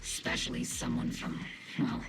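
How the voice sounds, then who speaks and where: A woman playing a gruff, by-the-book veteran squad captain in a game, blunt and no-nonsense. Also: normal speed, music in the background, natural voice. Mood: wary, skeptical. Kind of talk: dramatic game dialogue.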